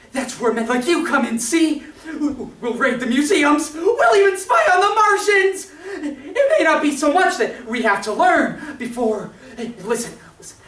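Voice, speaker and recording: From far off on an echoing stage comes a woman acting a manic, embittered artilleryman in an old-time radio play. The voice is high and unhurried overall, but stammers excitedly and swells toward shouts as he schemes to rule. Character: manic, bitter male artilleryman dreaming of ruling the world. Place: stage performance in a roomy theatre. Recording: echoey stage, captured from far off.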